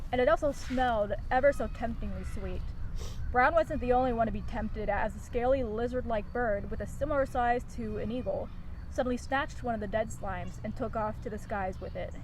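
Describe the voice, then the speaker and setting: A woman's voice: a little high, darkish tone, low-quality recording. A woman reading a humorous story aloud as part of a group read-along, with casual asides, recorded outdoors on location. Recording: outdoor location with faint background noise. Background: faint outdoor ambience and traffic noise.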